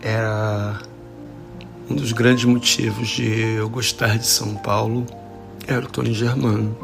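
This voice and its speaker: A man in his forties from Rio de Janeiro with a Carioca accent, an actor speaking a halting, heartfelt recorded tribute with many restarts. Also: level tone, gravelly. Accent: Carioca Brazilian Portuguese. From Rio de Janeiro, Brazil. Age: 40s. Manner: halting, many restarts, emotional and rising in pitch. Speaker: actor sending a tribute message. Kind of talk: recorded audio message, personal remembrance. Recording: voice message with music underneath.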